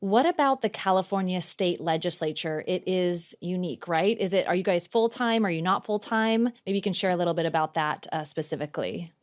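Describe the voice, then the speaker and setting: A woman's voice: on the low side, a little fast, hardly any pauses. A woman hosting a political interview show, reading an upbeat intro and asking her guest questions with friendly praise. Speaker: podcast host.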